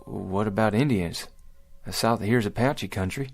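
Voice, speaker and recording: An actor playing a weary, bewildered American pioneer in a radio drama, earnest and polite, with halting, folksy old-fashioned speech. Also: male voice, fairly low pitch, creaky, a little hiss or hum.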